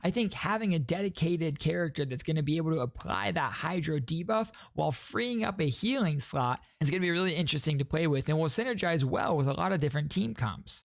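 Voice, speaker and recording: A man's voice: on the high side, vocal fry, no room sound, studio quality. A man hosting a gaming video, giving informal explanatory commentary straight to his viewers. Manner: informal, addresses viewers as guys.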